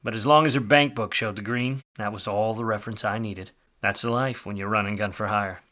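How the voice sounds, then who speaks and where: An actor playing a world-weary, wisecracking private detective in a film noir radio play, wry and slangy. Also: male voice, lowish voice, steady tone, fairly quick, sharp tone. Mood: wry, brooding. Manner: hard-boiled, wry, slangy.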